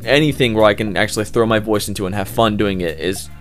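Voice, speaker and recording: A man's voice: on the low side, fast. A man giving casual, rambling, unscripted interview answers as an amateur voice actor, informal and keen on the work. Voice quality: loud voice. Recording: music playing behind the voice.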